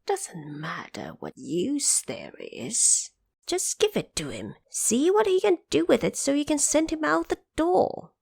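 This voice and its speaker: A shrewd, practical dragon queen of the sea in a storybook audio drama, voiced by a woman. She talks in a persuasive, matter-of-fact way, slow and unhurried, with a lively rise and fall in pitch. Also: creaky, exaggerated voice. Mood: curt.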